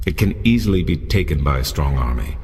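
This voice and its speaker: An actor playing a wise, solemn old mentor in a video game, speaking in a measured, formal way. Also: male voice, very deep voice, lively intonation, darkish tone, big-room sound. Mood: grave. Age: older. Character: wise ancient old man, grave mentor.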